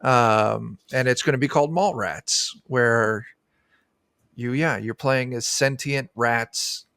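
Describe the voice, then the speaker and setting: A man's voice: mid-range voice, slow pace, a bit bright, clean tone, dry recording. Man chatting, dry close sound. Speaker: guest game designer. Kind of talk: casual interview chat. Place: indoor room, on a video call.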